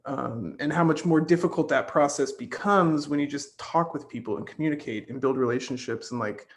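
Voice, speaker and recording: A man's voice: on the high side, fairly quick. Informal man facilitating a casual group discussion.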